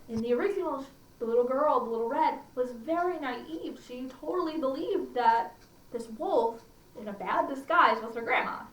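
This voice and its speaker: A woman hosting a children's storytime, reading aloud expressively and adding chatty, questioning asides to her listeners. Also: on the high side. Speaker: library storytime host. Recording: echoey room, slightly distant mic.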